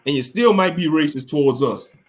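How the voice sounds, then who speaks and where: A man's voice: on the high side, a little fast, faint music behind. A man hosting a casual livestream chat into a mic with a pop filter, talking bluntly and swearing freely. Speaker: stream host. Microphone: studio mic with pop filter.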